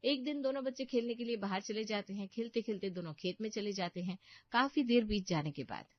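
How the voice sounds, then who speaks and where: A woman's voice: mid-range voice, fairly quick, dry recording, lo-fi sound. A woman giving scripted storytelling narration for a family tale.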